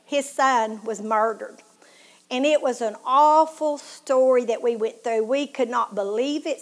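Heartfelt Tennessee woman sharing her story. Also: sits high. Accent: Southern US, Tennessee. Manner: earnest, heartfelt, drops to a hushed tone at painful moments. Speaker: show host. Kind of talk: personal testimony talk.

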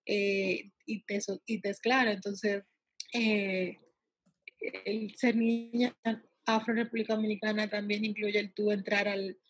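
A woman from the United States answers interview questions in a husky voice with little pitch movement. She speaks haltingly, often stretching pauses to find the next word. The sound is dry and close. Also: level tone, dry recording, poor audio. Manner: halting, searching for words with drawn-out pauses. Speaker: interviewee, salon worker and empowerment advocate for girls.

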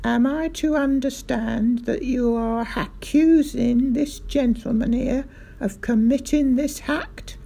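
A woman's voice: high voice, creaky, dark tone, voice pushed up, full character voice. A woman voicing a pompous, officious village police sergeant who puts h's in front of words. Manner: slow, deliberate, officious.

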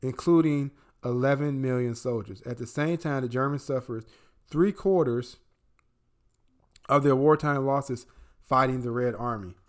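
A young adult man from the American Midwest co-hosting a casual political podcast, profane and emphatic, swinging between loud outbursts and low asides. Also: measured pace. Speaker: podcast co-host.

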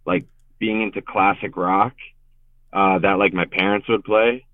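A man's voice: low voice, fairly even intonation, a little slow, no room sound. A young man from Richmond, Virginia, guesting on a podcast and talking about his bands in a rambling way, full of filler words. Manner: laid-back, rambling, heavy on filler words. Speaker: guest musician. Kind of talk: casual podcast interview. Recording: tinny, phone-like remote call.

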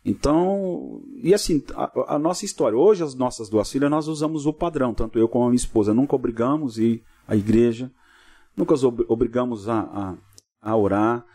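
A middle-aged Brazilian man from São Paulo state talks as a podcast guest. His voice is soft-edged and relaxed in tone, yet the words come very quickly. He chats informally, dotting his stories with short tag questions. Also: mid-range voice, husky. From Cubatão, São Paulo coast, Brazil. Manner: peppers talk with tag questions. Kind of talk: informal interview storytelling.

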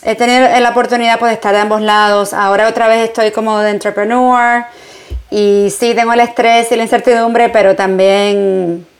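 A woman's voice: on the high side, level tone, moderate pace. Woman, Puerto Rican accent, journalist being interviewed, reflective, conversational, slips into English phrases. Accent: Puerto Rican Spanish. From Puerto Rico. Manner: reflective, mixes in English phrases, frequent fillers. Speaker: journalist and entrepreneur, guest.

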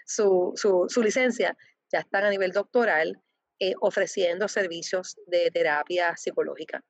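A woman representing a foundation, answering interview questions with many pauses, fillers and restarts. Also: on the low side, vocal fry, no echo. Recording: dull lo-fi dry sound.

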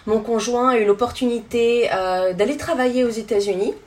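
A woman in her thirties from France answers questions as a podcast guest. Her voice sits a little high, with a French accent and a conversational delivery. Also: normal speed. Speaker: podcast guest, tour guide.

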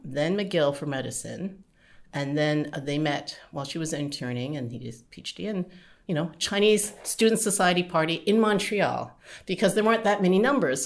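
A middle-aged Canadian woman, an interview guest, telling her family's migration story in a relaxed, rambling way with frequent laughs. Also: deep voice. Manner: rambling, chatty, laughs often.

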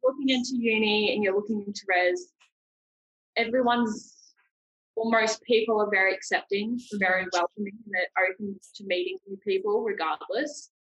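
A woman's voice: mid-range voice, steady tone, normal speed, a bit bright, slightly rough, low-quality recording. A young adult woman with a New Zealand accent, chatting informally and self-deprecatingly as a podcast host. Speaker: podcast host, university student. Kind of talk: casual chat.

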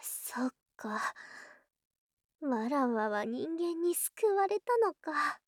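High-pitched haughty snake-spirit girl. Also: big pitch swings, very slow, dry recording, studio quality, full character voice. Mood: weak, grateful. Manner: archaic haughty old-fashioned speech, theatrical. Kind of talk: Japanese ASMR situation voice drama.

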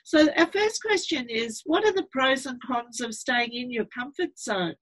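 A woman's voice: sits high, animated, a little slow, a bit bright, rough recording. A woman hosting an interview, upbeat in her introductions and filling her questions with hesitant "uh"s. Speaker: interview host. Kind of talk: hosted interview.

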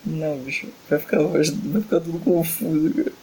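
A man's voice: a little high, fairly even intonation, brisk, a little mellow, rough recording. Young man, podcast host, tired, exasperated, joking, faint hiss behind. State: tired late at night. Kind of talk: casual podcast chat, reading a fanfic aloud.

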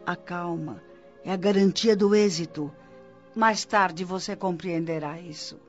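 Patient, didactic spirit mentor, Brazilian radio drama. High voice, reassuring delivery, faint music underneath. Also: male voice, measured pace, dull audio. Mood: patient, earnest. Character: wise, patient male spirit mentor, instructing a friend.

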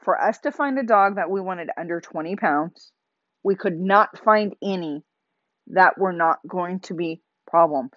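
Woman, podcast host, loud emphatic voice, rambling casual monologue, muffled lo-fi audio. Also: lowish voice, a little slow. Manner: chatty and emphatic, with many restarts.

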